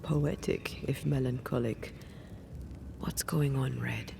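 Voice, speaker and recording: Solemn, devoted female spirit for a game drama: measured, hushed, slow, slightly gravelly. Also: a bass voice, fairly even intonation, background noise, exaggerated voice. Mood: gentle, concerned. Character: ghost woman, detached from life, devoted to her lover. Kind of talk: dramatic video game dialogue. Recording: music under the voice.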